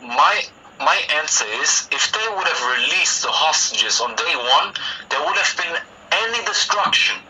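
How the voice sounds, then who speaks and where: A man's voice: fairly high pitch, animated, measured pace, sharp tone, distant mic, low-quality recording. A man from Israel in a casual online chat, arguing his point and checking in with "okay?" and "you know?". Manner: argumentative, keeps asking "okay?". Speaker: conversation partner.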